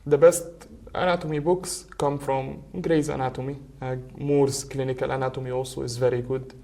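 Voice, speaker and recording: A man's voice: mid-range voice, flat delivery, moderate pace, vocal fry, warm tone. A young man whose first language is Arabic, talking casually as a podcast guest and hesitating often with fillers. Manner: hesitant, many filler uhs. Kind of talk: casual conversation. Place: podcast studio.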